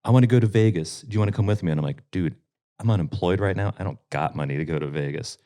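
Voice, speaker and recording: A man's voice: low voice, fast, warm tone. A man, a band member, chatting casually and joking with bandmates on a podcast. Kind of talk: casual chat.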